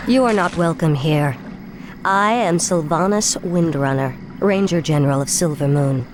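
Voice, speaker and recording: A woman's voice: low voice, moderate pace, noisy background, natural voice. An actress playing a proud, scornful female elf ranger general in a video game cutscene. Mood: defiant.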